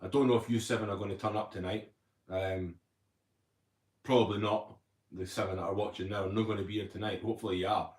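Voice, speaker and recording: A man's voice: fairly low pitch, steady tone, fast, darkish tone. A man hosting a casual livestream, rambling chattily and reading viewer comments aloud. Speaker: livestream host. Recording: roomy echo.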